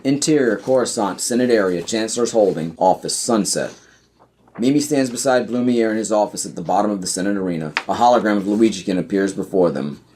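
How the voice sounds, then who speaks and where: A man's voice: on the low side, brisk, a little mellow, a little hiss or hum. A man narrating an audio play, reading out screenplay scene headings and stage directions.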